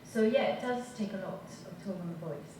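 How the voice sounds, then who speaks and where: A woman's voice: mid-range voice, fairly quick. Welsh woman in an echoey hall. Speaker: actor on a panel. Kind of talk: panel discussion. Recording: echoey hall from far off, noisy.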